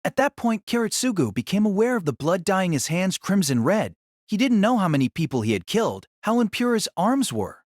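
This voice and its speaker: A man reads a dramatic novel as narrator, his fairly high voice moving through wide swings of pitch. The sound is very dry, and the delivery is scripted audio drama storytelling. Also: big pitch swings, brisk, darkish tone, no echo.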